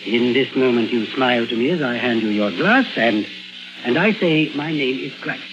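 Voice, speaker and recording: An actor playing an urbane, whimsically courteous psychologist with hesitant phrasing in a 1950s radio drama. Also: male voice, mid-range voice, normal speed, over music, lightly acted. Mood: genial, whimsical. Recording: dull vintage 1950s radio recording.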